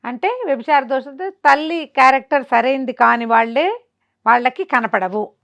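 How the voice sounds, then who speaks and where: Female storyteller, Telugu accent, somewhat high pitch. Conversational folk-tale delivery, expressive with character dialogue. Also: moderate pace, vocal fry, dull audio.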